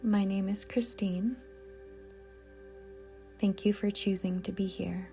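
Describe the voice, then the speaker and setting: A woman guiding a yoga nidra meditation in a soft, breathy, calm and deliberate voice that often trails toward a whisper. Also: medium pitch, faint music behind. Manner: calm, soothing, gentle, deliberate. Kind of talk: slow guided meditation narration.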